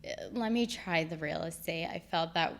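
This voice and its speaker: A woman's voice: low voice, moderate pace. A woman in her thirties, born in Egypt, a real estate broker talking casually as a podcast guest, with plenty of "um"s as she recounts her path. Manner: relaxed storytelling with frequent "um". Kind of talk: casual interview.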